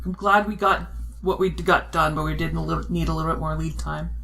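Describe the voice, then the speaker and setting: A woman in a casual group discussion, speaking haltingly as she thinks aloud as an interviewee. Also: sits low, fairly even intonation, vocal fry, fairly bright tone. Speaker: podcast creator being interviewed.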